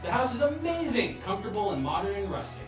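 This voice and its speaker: Someone reading stage directions at a table read, kinda loose and amused, far from the mic, loud music under it. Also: mid-range voice, sing-song, fast talker. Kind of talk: scripted narration, read casually with improvised asides. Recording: echoey room, distant mic, loud music behind.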